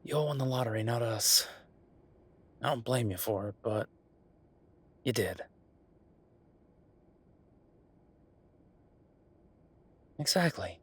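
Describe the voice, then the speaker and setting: A man's voice: mid-range voice, normal speed, husky, close to an everyday voice. A man playing an affectionate, teasing werewolf bureaucrat in an intimate romantic audio roleplay, speaking conversationally with murmured asides. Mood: teasing, fond. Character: Viktor, affectionate teasing male werewolf bureaucrat, weary but playful.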